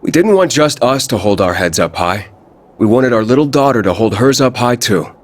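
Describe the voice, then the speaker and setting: An actor playing a confident, easygoing fighter with a proud, warm heart in a video game, speaking in an assured way. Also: male voice, medium pitch, expressive, moderate pace, close to an everyday voice. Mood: proud, encouraging.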